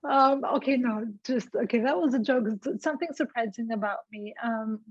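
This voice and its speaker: A woman's voice: fairly high pitch, normal speed, dry recording. A woman with a light Greek accent answering an interview question hesitantly, searching for words. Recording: dry, slightly muffled.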